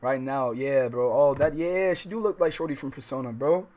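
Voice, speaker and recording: A man's voice: mid-range voice, moderate pace, a little mellow. An American man hosting a casual let's-play livestream, reading story text aloud with stumbles and reacting in slangy, profane talk. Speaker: livestream host.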